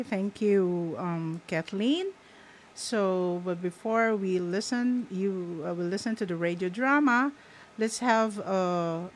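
Filipina radio host doing intros, faint noise in the room. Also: female voice, medium pitch. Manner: brisk introductions. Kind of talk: on-air hosting.

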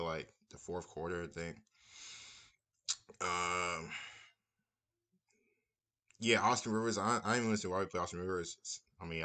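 A young man giving a casual, rambling post-game reaction from a small room, repeating himself as he talks through the game. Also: low-pitched. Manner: relaxed, rambling, repeats phrases. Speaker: basketball fan commentator.

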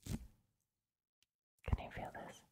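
Whispering young woman, slow and soothing. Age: 20s. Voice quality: breathy whisper. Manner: soothing, reassuring, gentle. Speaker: ASMR roleplayer playing a surgeon. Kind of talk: whispered ASMR roleplay. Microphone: close mic, speaking through a surgical mask.

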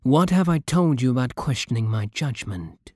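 A man with a British accent playing a possessive, seductive vampire king in an intimate ASMR roleplay, commanding and formal, with a soft, husky voice. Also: mid-range voice, expressive, no room sound, close to an everyday voice. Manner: formal, slow, teasing, imperious. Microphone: close, dry microphone.

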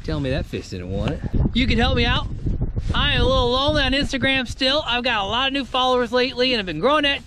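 Middle aged guy on a bass boat, folksy and excited, voice jumps all over, boat and wind noise. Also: quick pace. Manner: excited, folksy, exclaims at catches. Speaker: fishing video host. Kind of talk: casual outdoor fishing vlog. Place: on a bass boat on a lake outdoors. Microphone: action camera on the boat.

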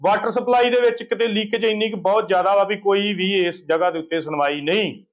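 A high-voiced man gives a political complaint to the press. He is emphatic and indignant, circling back over his points. The sound is a dull field recording. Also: a little fast. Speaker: local opposition party leader giving a media interview.

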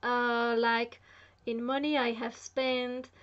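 A woman's voice: on the high side, little pitch movement, slow, fairly bright tone, clean tone, muffled recording. A young woman, French her first language, chatting casually to camera as a vlogger, filling her talk with lots of "you know"s, in a small room at home. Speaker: spiritual vlogger.

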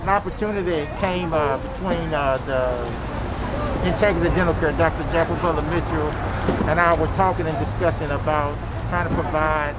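A man from a police association, speaking haltingly with fillers in an outdoor interview. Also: a little high. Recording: noisy outdoor recording. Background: loud outdoor noise.

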